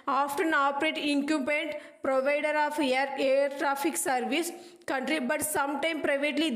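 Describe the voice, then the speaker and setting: High-pitched woman reading in an echoey room. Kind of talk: read-aloud scripted lesson.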